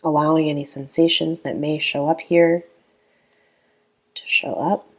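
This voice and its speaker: A woman guides a meditation very slowly, leaving long pauses between her phrases. Her voice sits fairly low and carries a creaky, gravelly fry. The delivery is calm and gentle throughout.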